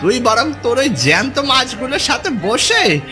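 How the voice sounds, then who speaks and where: A man's voice: very high-pitched, very animated, moderate pace, over music. A man voicing a cynical rival fishmonger in a cartoon, mocking and taunting as he talks. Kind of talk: cartoon story acting.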